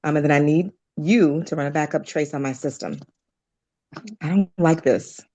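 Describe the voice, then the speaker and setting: A woman playing a concerned, firm doctor in a drama table read, speaking in a measured, earnest way. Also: low voice, warm tone. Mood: worried, resolute.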